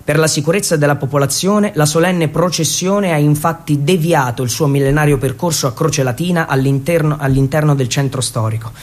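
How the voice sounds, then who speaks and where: A man narrating a scripted theatre piece in a solemn, measured, declamatory way. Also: a little high. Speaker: actor and narrator.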